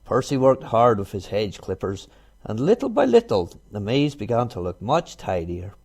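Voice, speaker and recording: A man reading a children's storybook aloud in an expressive narrating style. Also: lowish voice, normal speed, slightly rough. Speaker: storybook reader.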